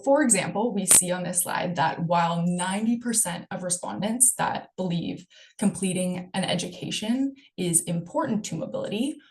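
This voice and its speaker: A woman presenting survey results in a webinar, explaining the data in a steady way. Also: lowish voice, background noise.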